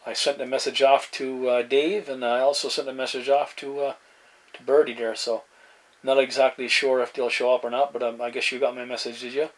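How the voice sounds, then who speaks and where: A man chats casually in a halting, laid-back way, using CB radio jargon like a hobbyist at his desk. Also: mid-range voice, level tone. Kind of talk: casual radio chat and demo narration. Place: indoor desk beside CB radio sets. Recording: noisy room with radio hiss. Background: radio static and room noise.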